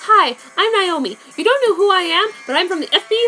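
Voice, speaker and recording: A woman's voice: very high-pitched, expressive, a little fast, sharp tone, pitched above the performer's own voice, exaggerated voice. A woman voicing an urgent, grieving woman agent who talks in a breathless rush. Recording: background music.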